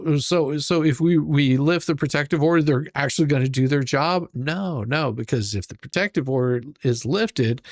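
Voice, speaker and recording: Gravelly man musing in a dry room. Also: medium pitch, no room sound. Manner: rambling, repeats words while thinking. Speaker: channel host reacting to a stream. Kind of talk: casual commentary.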